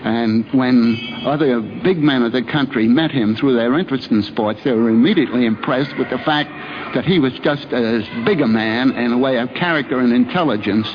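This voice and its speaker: A man giving reflective, articulate interview remarks in a measured way for an old radio documentary. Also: medium pitch. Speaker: interviewee giving an appraisal of a friend. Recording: dull, noisy 1950s radio recording.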